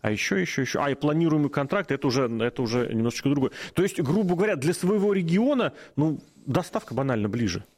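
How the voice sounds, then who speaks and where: A male talk show host discussing current affairs casually, thinking out loud as he talks. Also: fairly high pitch, animated, quick pace, hardly any pauses. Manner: thinks aloud with fillers and restarts.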